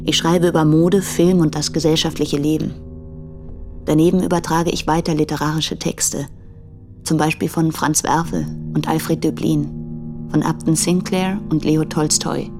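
A woman's voice: low voice, steady tone, unhurried. An actress playing a businesslike woman translator reading out her letter in a radio play, composed and matter-of-fact. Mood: composed, factual. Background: guitar music.

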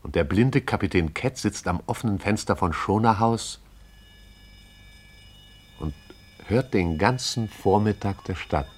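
A man with a lowish voice narrates a radio play. He reads in a measured, poetic storytelling way. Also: expressive.

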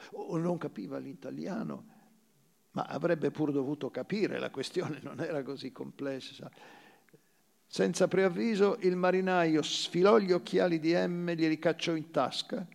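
A man narrates literary fiction as if on stage. His voice is thick with creak and sits a little higher than average. He takes his time, phrasing slowly and deliberately and leaving dramatic pauses. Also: a little mellow. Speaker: actor reading a short story.

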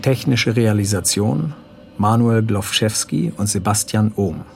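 Male radio play announcer: sober, measured, creaky low-leaning voice reading directions and credits. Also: soft background music.

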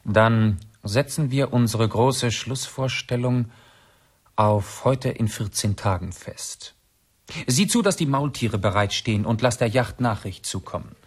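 Husky, commanding lord. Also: male voice, medium pitch, a little slow. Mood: confident, planning. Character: self-assured English lord scheming with his servant. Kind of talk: radio drama acting.

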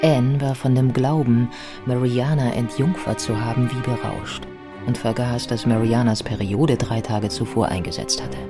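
A woman narrating a radio play from a script in a measured, factual storytelling manner. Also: extremely low pitch, steady tone, over music.

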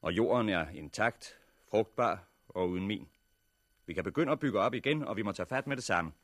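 A composed leader addressing a meeting in a radio drama. The voice is low and closely recorded, with formal, measured, courteous delivery. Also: male voice, lively intonation, no room sound, studio quality. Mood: resolute, grave. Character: composed male leader chairing a survivors' meeting. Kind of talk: 1960s radio drama acting, public address.

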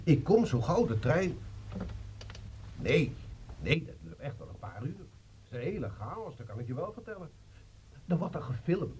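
A man's voice: on the high side, sing-song, moderate pace, long pauses, darkish tone, a little hiss or hum, poor audio. An actor playing a defensive, exasperated husband talking to his wife on the phone in a radio play.

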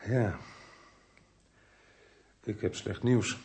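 An actor playing a sober, plain-spoken police inspector in a radio drama, blunt and matter-of-fact. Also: male voice, fairly low pitch, very slow, darkish tone, a little hiss or hum. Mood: grave, subdued.

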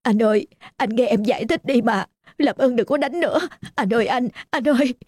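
A woman's voice: fairly high pitch, sing-song, normal speed, darkish tone, breathy edge, dry recording, studio quality, pitched above the performer's own voice. A woman voicing a desperate middle-aged wife who cries out in anger and pleading in a spoken drama story. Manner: pleading, crying out.

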